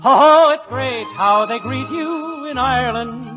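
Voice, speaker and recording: A man with a high tenor voice singing and joking on a vintage radio show. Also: slow pace, over music. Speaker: singer and comedian. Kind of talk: 1940s radio song and banter. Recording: dull old radio broadcast with orchestra.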